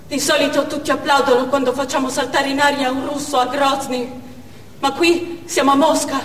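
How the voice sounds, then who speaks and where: A woman's voice: sits high, little pitch movement, normal speed, slight background noise, lightly acted. An actress on stage playing a stern, commanding Chechen woman militant in a dramatic monologue. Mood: cold, grim. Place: theatre stage. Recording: echoey theatre hall from far off.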